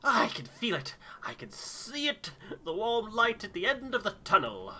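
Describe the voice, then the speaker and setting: Man putting on high, dreamy, theatrical crewman. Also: wildly swooping pitch, moderate pace, pushed and bright, exaggerated voice. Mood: dreamy, hopeful.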